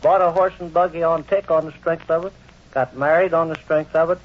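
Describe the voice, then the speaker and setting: Man playing folksy old uncle, high-pitched. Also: steady tone, fairly quick, slight background noise, lightly acted. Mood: earnest, rambling. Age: older. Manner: garrulous storytelling, folksy. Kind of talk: vintage radio comedy acting. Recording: dull old radio recording.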